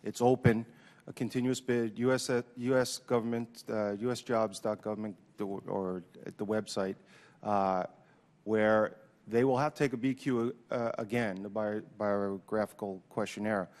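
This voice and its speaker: A man's voice: lowish voice, fairly even intonation, moderate pace, a little mellow. A man testifying as a witness, giving unscripted answers at a formal hearing. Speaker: union leader testifying as witness. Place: large indoor hearing room. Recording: echoey hearing room.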